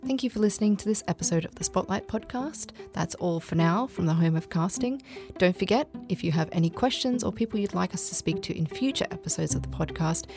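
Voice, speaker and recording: A woman hosting a podcast, who asks friendly, brisk questions and reads out the closing. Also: fairly low pitch, lively intonation, music in the background. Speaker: podcast host. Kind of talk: podcast interviewing.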